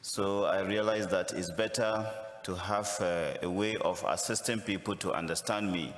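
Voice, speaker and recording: A man with a Ghanaian accent, a visiting priest giving a missionary appeal homily, speaking slowly and deliberately with touches of humor. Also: low voice, a bit bright. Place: large church. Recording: echoey church from a distance.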